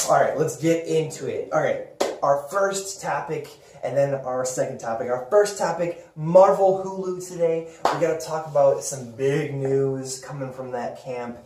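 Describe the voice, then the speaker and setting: A man's voice: mid-range voice, a little slow. A man co-hosting a show with enthusiastic, emphatic and informal fan chat. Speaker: co-host of a pop culture talk show. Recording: roomy echo, distant mic, faint noise.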